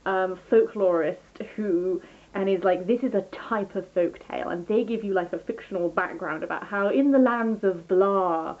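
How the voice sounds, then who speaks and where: A woman's voice: medium pitch, normal speed, narrow, tinny audio. A woman chatting casually through a book review as a vlogger, rambling and enthusiastic, often interrupting herself.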